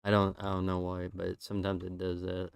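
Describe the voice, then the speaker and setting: A man's voice: low voice, fairly quick, gravelly, smooth, no room sound. A man streaming, chatting casually and rambling through his drawings while losing his voice. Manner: relaxed, rambling with fillers.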